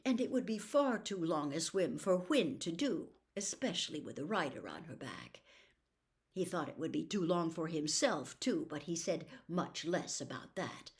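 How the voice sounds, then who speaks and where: A woman narrating a classic children's story as an audiobook reader, with expressive storybook delivery. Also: fairly low pitch.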